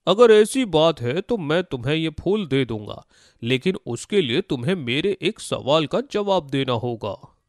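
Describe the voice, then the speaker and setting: A man's voice: fairly high pitch, very animated, a little slow, no room sound, studio quality. A man voicing a challenging crocodile who guards magical flowers and poses riddles in a children's story. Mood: testing. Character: riddle-posing crocodile guarding magical river flowers, testing but fair. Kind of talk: Hindi children's moral story voice acting.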